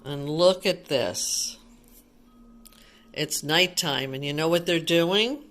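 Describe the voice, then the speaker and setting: A woman's voice: sits low, slow, a bit bright. A woman reading a picture book aloud to young children like a teacher, explaining things simply and asking them questions.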